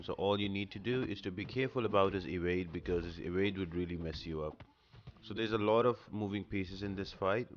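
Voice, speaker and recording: Low-voiced man giving gaming tips. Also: muffled recording, slight background noise. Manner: explanatory, instructional. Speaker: gaming guide host. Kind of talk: casual walkthrough commentary over gameplay.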